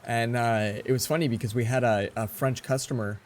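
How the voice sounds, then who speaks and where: A man's voice: medium pitch, steady tone, a little fast, a little mellow, a little hiss or hum. A man in his forties giving casual gameplay commentary, rambling and chatty as he tells stories between moves. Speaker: let's play host.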